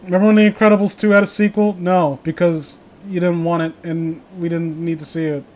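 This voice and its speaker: A man's voice: high-pitched, flat delivery, a little fast, darkish tone. A man chatting casually as a host, rambling, blunt and opinionated, with frequent swearing. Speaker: host of a casual group chat.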